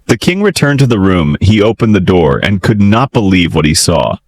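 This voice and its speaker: A man narrating a fairytale as a storyteller, in an expressive, theatrical style. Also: deep voice, normal speed, low-quality recording. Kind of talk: dramatic children's fairytale narration.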